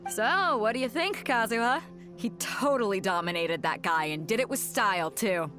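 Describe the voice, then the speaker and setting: A woman's voice: on the high side, lively intonation, moderate pace, a bit bright. Hearty game sea captain lady, confident, swingy delivery, music in the back. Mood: proud, amused. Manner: hearty, teasing. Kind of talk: video game character acting. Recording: music behind the voice.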